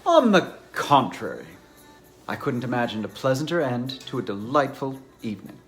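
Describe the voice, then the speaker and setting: A man acts a courteous bachelor academic from a dramatized novel. His voice is bright and mid-pitched, with lively movement. He phrases everything politely and a little awkwardly, in bookish words. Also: moderate pace, soft background music. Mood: pleased, courteous. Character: courteous, awkward English academic bachelor, privately exasperated in romance. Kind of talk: amateur dramatized novel reading.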